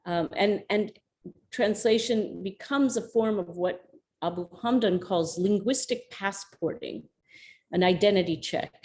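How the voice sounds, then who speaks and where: Woman, mid-pitched voice, measured academic answer, hesitant restarts, video call. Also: a little mellow, slight background noise. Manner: thoughtful, searching, with restarts. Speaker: guest lecturer.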